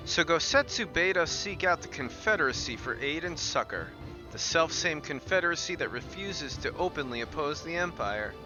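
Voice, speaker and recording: A man's voice: a little high, animated, moderate pace, lo-fi sound, over music, pitched above the performer's own voice, close to an everyday voice. A man voicing an eager, chatty young woman adventurer while reading fantasy game dialogue aloud. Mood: eager, musing.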